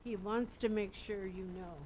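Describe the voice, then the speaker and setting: A woman's voice: medium pitch, fairly even intonation, normal speed, slight background noise. Woman guest laughing through her story. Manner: warm storyteller who laughs often. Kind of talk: casual storytelling.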